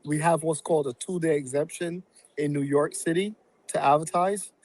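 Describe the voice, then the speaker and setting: Halting reflective man from Barbados. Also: fairly high pitch, fairly even intonation, a little mellow. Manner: reflective, halting with many fillers. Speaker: real estate investor and guest. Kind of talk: casual podcast interview.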